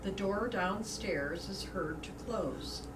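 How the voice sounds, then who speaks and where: A woman narrates a play from a script in a mid-range voice. She is recorded from a distance in a reverberant room, with clear noise behind her. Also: mid-range voice, slow pace. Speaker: narrator reading stage directions. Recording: distant mic, echoey room, background noise.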